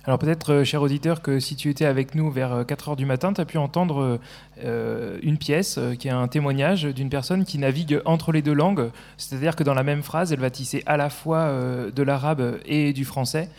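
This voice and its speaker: A man hosts a live radio talk, easing in slowly with plenty of hesitations. His voice has a gravelly, creaky edge. The sound carries a long, echoey reverb. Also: mid-range voice, a little mellow. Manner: hesitant, many filler sounds. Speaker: radio host.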